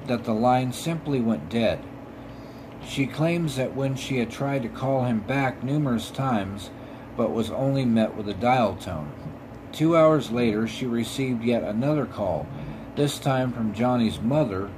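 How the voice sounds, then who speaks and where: Man reading eerie stories over steady noise. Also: mid-range voice. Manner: halting reading, stumbling and repeating words. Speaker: podcast host. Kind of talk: scripted narration of paranormal stories.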